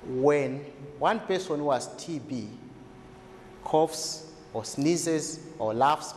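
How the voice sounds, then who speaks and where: A man speaking as a health expert in a didactic, deliberate way, asking questions and answering them himself as he explains. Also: mid-range voice, a little mellow. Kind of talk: explanatory interview talk for a documentary. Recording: echoey hall-like room, faint noise.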